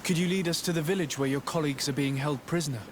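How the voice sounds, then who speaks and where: An actor playing a courteous noble envoy in a fantasy game, speaking in a measured, formal way. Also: male voice, a little high, background noise, close to an everyday voice. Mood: calm, inquiring. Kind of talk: scripted game cutscene acting.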